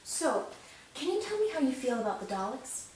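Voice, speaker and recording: A measured, probing interviewer, acted by a woman in an improvised fan film. The voice is on the higher side. It sounds distant from the microphone, with heavy room echo and faint hiss. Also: big pitch swings, darkish tone. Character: probing female interviewer questioning a war survivor.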